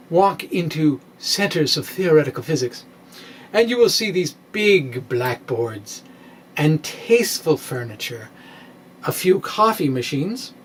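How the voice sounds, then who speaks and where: A middle-aged man giving a dramatic reading of a science blog in a wry, theatrical manner with pointed pauses, close to a microphone in a small room. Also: on the high side, slight background noise. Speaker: science blogger and presenter. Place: small indoor room with bookshelves. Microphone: microphone on a stand, close in front.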